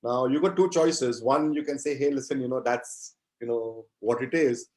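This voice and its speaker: Halting, reflective man in his fifties. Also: medium pitch, darkish tone. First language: Gujarati. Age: 50s. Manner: halting, reflective, repeats phrases. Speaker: interview guest, poet and entrepreneur. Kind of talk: conversational interview answers.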